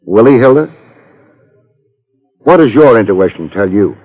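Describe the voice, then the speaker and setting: Low-voiced, hardboiled radio detective. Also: male voice, animated, a little slow. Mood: dry, probing. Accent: American. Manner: clipped, sardonic, hardboiled. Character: wry, tough male private detective. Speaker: radio drama actor. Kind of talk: 1950s radio detective acting. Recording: muffled old radio recording.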